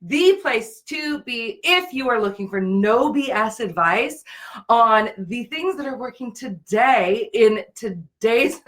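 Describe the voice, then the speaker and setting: A woman's voice: medium pitch, a bit bright. A woman speaking as the host of a live business interview show. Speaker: business show host, brand and profit strategist.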